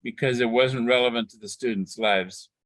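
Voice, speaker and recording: A man renders another speaker's words into English after each pause. His voice is mid-pitched and recorded close and dry. He keeps an even, steady delivery and sometimes restarts a phrase. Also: no room sound. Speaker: interpreter. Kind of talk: consecutive interpretation into English.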